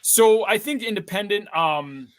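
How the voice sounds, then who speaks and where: A man's voice: high-pitched, animated, unhurried. A young man from Kenya, an actor being interviewed, chatting casually and thinking aloud with lots of hedging. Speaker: actor guest.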